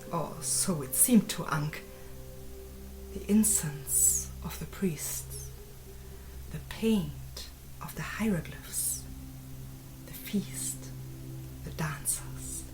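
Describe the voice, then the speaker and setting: Slow, hushed woman narrating an audiobook. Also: on the low side, rough recording. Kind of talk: scripted literary narration.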